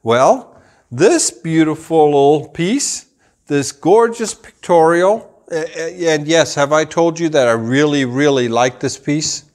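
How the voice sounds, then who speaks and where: A man in his forties, raised in Germany, a rug dealer explaining things to camera in a showroom, slow and deliberate with gestures. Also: fairly high pitch, lively intonation, darkish tone. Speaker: rug dealer and channel host. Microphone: camera at a few meters, full body framing.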